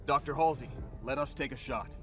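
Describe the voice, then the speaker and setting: A man playing a stoic, resolute supersoldier team leader with terse, steady delivery in an animated sci-fi film. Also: mid-range voice, fairly quick, highs cut off, faint music behind, natural voice. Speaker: squad leader.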